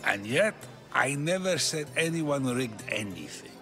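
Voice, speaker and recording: An actor playing a curious ancient Greek philosopher in a game cutscene, musing and questioning in measured phrases. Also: male voice, medium pitch, sing-song. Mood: pointed, curious. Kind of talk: video game cutscene acting. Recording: music under the voice.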